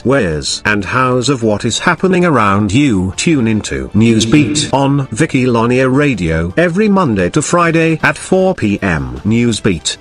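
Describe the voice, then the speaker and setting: A man voicing a radio promo in an emphatic, announcer-style delivery. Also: medium pitch.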